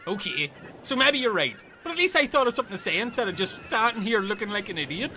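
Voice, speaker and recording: A man's voice: high voice, big pitch swings, quick pace, sharp tone, a little hiss or hum, exaggerated voice, rough recording. A man playing a dim, chatty, earnest Irish castaway in a comedy radio play, rambling and taking things literally. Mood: earnest, defensive.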